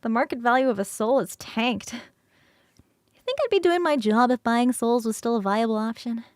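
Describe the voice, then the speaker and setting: Woman doing a bubbly succubus roommate for asmr roleplay, high girly voice, really dry close sound, rambly and teasing. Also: normal speed, no echo, natural voice. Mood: exasperated, playful.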